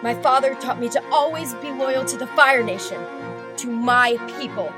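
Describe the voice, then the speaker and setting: A woman playing a proud, fiercely loyal young woman in an animated comic dub, speaking in accusing declarations. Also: high voice, moderate pace, music in the background, natural voice. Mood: defiant.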